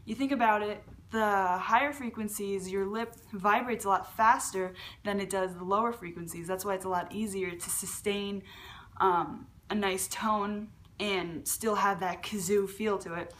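A young woman with a fairly low voice for a woman, hosting a casual beatbox tutorial from her living room and talking to a webcam, with lots of fillers and restarts. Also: fairly even intonation, a little slow, fairly bright tone. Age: young adult. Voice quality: lower voice for a woman. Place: large living room at home.

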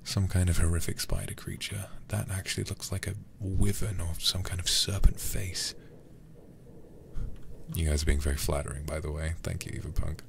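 A man murmuring ASMR game commentary to himself close to the microphone, in a breathy, gravelly voice that often drops into a whisper. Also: sits low, steady tone, moderate pace, a little hiss or hum. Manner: murmuring to himself, mild swearing. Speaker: livestreamer.

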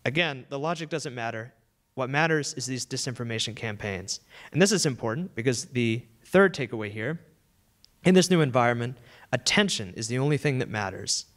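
A man's voice: mid-range voice, lively intonation, a bit bright. Young man giving a fluent keynote talk. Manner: fluent, measured, gestures while talking. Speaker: co-author and keynote speaker. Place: large auditorium stage, seated. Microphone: handheld microphone.

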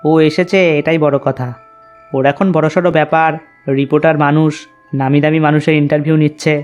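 A man's voice: a little high, little pitch movement, brisk, darkish tone, highs cut off, soft background music, natural voice. A man playing a young, teasing friend with a casual, ribbing manner in a cartoon story.

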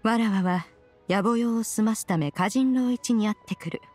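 A woman's voice: a little high, normal speed, a little mellow, soft background music, close to an everyday voice. A woman voicing a proud, composed female mystic in a Japanese fantasy game, speaking with archaic, authoritative wording. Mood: calm, decisive. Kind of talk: Japanese anime game voice acting.

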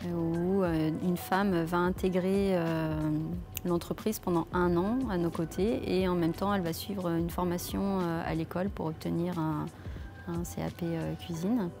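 Woman chef, 30s, from France, lower voice, halting interview delivery with music bed. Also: fairly even intonation. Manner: hesitant, many fillers and restarts. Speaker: head chef. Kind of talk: filmed interview answers. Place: leafy outdoor restaurant courtyard. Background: soft background music.

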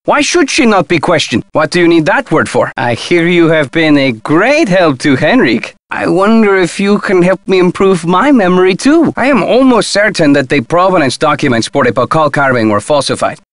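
A man's voice: a little high, lively intonation, normal speed, hardly any pauses, no room sound, close to an everyday voice. A man playing a proud, indignant diplomat in a video game, speaking formally, precisely and emphatically. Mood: defensive, sardonic, then sly, persuasive. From Mexico. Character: proud, indignant Mexican male diplomat, protective of heritage. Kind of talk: scripted video game dialogue.

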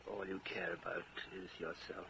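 A man's voice: low voice, little pitch movement, normal speed, creaky. An actor playing a hollow, defeated man, subdued and resigned, in 1940s radio drama style. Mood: hollow resignation. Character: defeated, hollow man echoing a confession of betrayal. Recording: muffled vintage 1940s radio recording, faint noise.

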